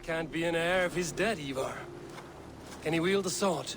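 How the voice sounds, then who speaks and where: An actor playing a steadfast Viking raider in a video game, blunt and resolute. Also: male voice, a little high, normal speed, gravelly, background noise. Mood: skeptical. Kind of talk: dramatic video game acting.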